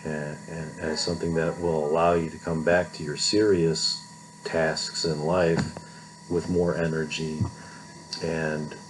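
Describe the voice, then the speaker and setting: A man's voice: extremely low pitch, level tone, measured pace, slight background noise, low-quality recording. A middle-aged man giving an informal recorded philosophy lecture in a conversational way, often checking in with "right?".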